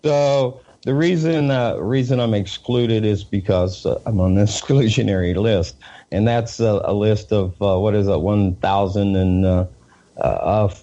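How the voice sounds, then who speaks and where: A man with a Southern US accent, a reporter being interviewed, talking in a halting way with many fillers and repeated words. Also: fairly low pitch, dark tone, muffled recording. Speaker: independent news reporter, guest. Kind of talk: casual interview talk.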